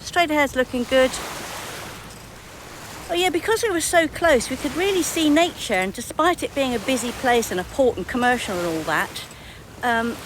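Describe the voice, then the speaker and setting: A woman hosting a sailing vlog, chatting casually in an upbeat, appreciative way to the camera. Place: outdoors on a sailboat. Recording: noisy outdoor boat recording, engine rumble.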